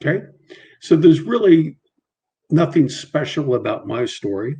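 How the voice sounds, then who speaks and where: A man's voice: on the high side, very animated, dark tone. A middle-aged man who stutters, speaking as a guest on a casual video call with many repeats and restarts. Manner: halting, frequent repetitions and restarts, mild stutter.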